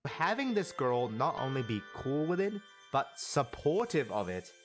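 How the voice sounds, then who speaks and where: A man whose first language is Urdu, narrating an animated story with earnest, self-deprecating humor. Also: mid-range voice, a little slow. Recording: voice-over with background music.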